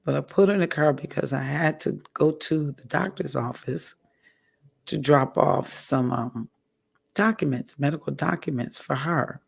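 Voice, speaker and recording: A woman vlogger with a hoarse, raspy voice, giving a candid personal update in a slow, halting way and often clearing her throat. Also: sits low. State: hoarse, recovering from a stroke. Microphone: audio only, home recording.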